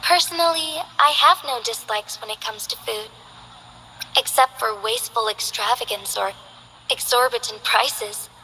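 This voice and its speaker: A woman voicing a formal, earnest young female city magistrate in game character voice lines, speaking politely and with measured care. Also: on the high side, bright, forceful tone, slight background noise, low-quality recording. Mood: gracious.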